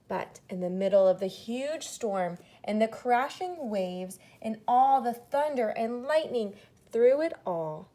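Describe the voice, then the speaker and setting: Slow-paced woman reading a children's story. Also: mid-range voice, vocal fry. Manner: dramatic, with hushed emphasis. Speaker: storybook reader. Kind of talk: expressive children's story read-aloud.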